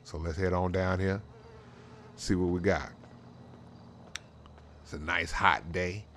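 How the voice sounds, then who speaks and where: A man's voice: deep voice, fairly even intonation, slow pace, fairly bright tone. A man hosting casual game role-play commentary in a slangy, rambling way, leaning on conversational tag phrases. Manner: slangy, rambling, frequent "you know what I'm saying". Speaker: host of a drag racing role-play channel. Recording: clear background noise.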